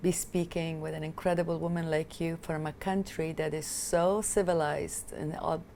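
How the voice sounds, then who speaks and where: A woman's voice: low-pitched, little pitch movement, moderate pace, creaky, warm tone, a little hiss or hum. A woman in her fifties from Afghanistan, whose first language is Italian, speaking passionately and emphatically as an interview guest. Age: 50s. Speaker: guest, film director and activist. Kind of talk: interview conversation.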